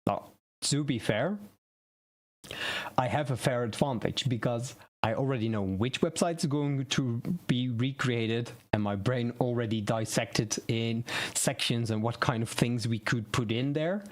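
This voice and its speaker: A man whose first language is Dutch hosts a relaxed live stream on website building. He thinks aloud, stumbles over words and restarts them, at an ordinary middle pitch. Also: unhurried. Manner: rambling, self-correcting, thinking aloud. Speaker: web design streamer. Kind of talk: casual tutorial live stream.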